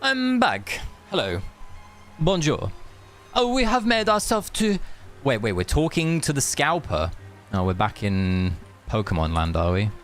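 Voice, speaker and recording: A man's voice: mid-range voice, very animated, a little slow. A man chatting casually on a game stream, rambling with joking asides and reading bits of story dialogue. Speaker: live streamer. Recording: music playing behind the voice. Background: game music.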